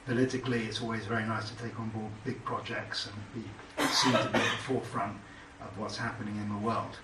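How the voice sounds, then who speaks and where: Man, British accent, panelist, halting speech, echoey room, distant mic. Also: fairly low pitch, steady tone, very noisy. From Britain. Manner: hesitant, many fillers and restarts. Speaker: panelist, entrepreneur. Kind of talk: panel discussion remarks.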